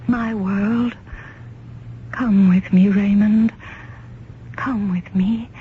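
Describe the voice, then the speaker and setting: A woman's voice: medium pitch, fairly even intonation. A mysterious woman from another world in an old radio play, on a muffled recording with faint noise. She is solemn and formal, choosing each word with care. Her insistence is coaxing and hypnotic, drawing the listener toward her. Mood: urgent, coaxing. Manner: deliberate, formal, hypnotically persuasive. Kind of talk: old-time radio drama acting.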